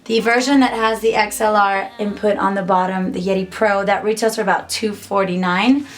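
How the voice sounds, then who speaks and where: A woman's voice: medium pitch, fairly even intonation, moderate pace. A young woman giving a casual, upbeat tutorial to camera from a small home music studio, chatty and full of "like". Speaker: musician and YouTuber.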